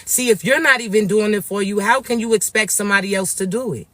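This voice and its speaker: A raspy-voiced man, talking slowly and tenderly to viewers as a spiritual reader. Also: high voice, no echo. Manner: soft, drawn-out, affectionate address to viewers. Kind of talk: livestream reading for viewers.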